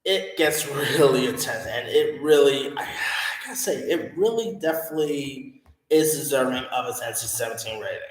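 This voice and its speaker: A man's voice: medium pitch, normal speed. A man giving a casual, rambling film review, full of fillers and restarts. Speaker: movie reviewer. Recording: echoey room with long reverb.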